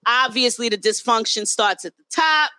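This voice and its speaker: A woman's voice: on the high side, moderate pace, sharp tone, clean tone, no room sound. A woman with a New York accent co-hosting a casual talk show, upbeat and encouraging as she asks questions and lays out topics. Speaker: podcast co-host.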